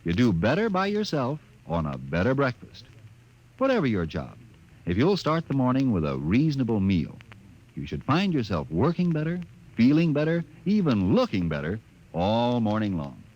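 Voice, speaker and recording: Folksy animated radio ad announcer man. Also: mid-range voice, moderate pace. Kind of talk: 1950s radio commercial. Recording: muffled old radio recording.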